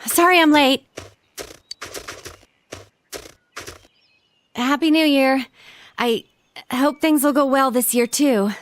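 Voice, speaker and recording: A woman's voice: sits high, measured pace. A woman playing a cute, pampered teenage girl in a video game, blunt and complaining with a demanding, coquettish streak. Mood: casual, contrary. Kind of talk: video game dialogue acting.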